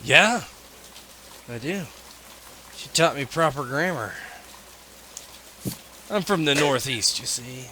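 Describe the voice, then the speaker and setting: A man playing a blunt, dry-witted soldier who talks in a flat, sarcastic way. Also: mid-range voice, slow pace, long pauses, slight background noise.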